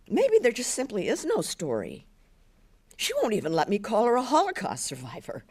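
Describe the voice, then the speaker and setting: A woman's voice: fairly high pitch, pitched above the performer's own voice. A woman voicing a teenage girl who stammers and pleads earnestly with her teacher, her speech full of restless restarts.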